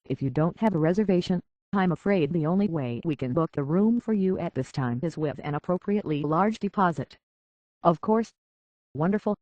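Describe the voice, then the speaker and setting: A man's voice: fairly high pitch, animated, moderate pace, a little mellow, no room sound, dull audio, close to an everyday voice. A man voicing a courteous, anxious robot butler and hotel concierge in a video game, with a British accent and a formal, fussily polite manner. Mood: prim, officious. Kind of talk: scripted video game character acting.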